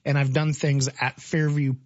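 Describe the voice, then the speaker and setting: A man co-hosting a morning radio talk show, agreeable and quick to chime in, who thinks out loud. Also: on the high side, level tone, no room sound, dull audio. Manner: agreeable, chimes in often, works through quick math aloud. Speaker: radio co-host. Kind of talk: casual local radio talk.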